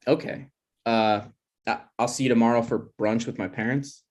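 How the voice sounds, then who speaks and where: A man acts out an insecure, jealous boyfriend in a naturalistic comedy table read. His mid-pitched voice hesitates and stammers as he makes his case. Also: fairly even intonation, normal speed, a little mellow, natural voice. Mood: deflated. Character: insecure, jealous boyfriend, unemployed grad student. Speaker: actor in a screenplay table read.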